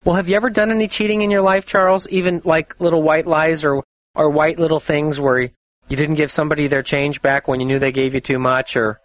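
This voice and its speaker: A man's voice: on the high side, level tone, fairly quick, no echo, muffled recording. A man hosting a call-in astrology radio show, talking confidently and chattily and laughing often.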